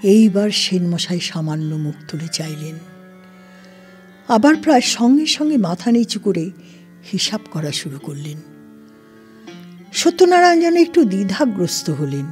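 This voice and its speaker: A woman narrating a scripted literary story in a deliberate, formal storytelling manner. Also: mid-range voice, very animated. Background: soft plucked-string music.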